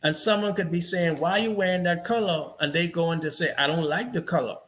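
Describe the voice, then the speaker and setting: A man's voice: fairly high pitch, fast, fairly bright tone. A man hosting an interview, talking reflectively and telling stories with frequent "you know" asides. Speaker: interview host. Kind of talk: casual interview conversation.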